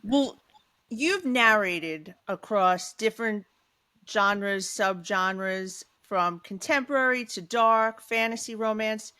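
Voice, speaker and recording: A woman's voice: mid-range voice, takes it slowly, sharp tone, clear, resonant tone. A woman podcast host chatting casually with a guest, swearing freely and giggling. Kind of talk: casual chat.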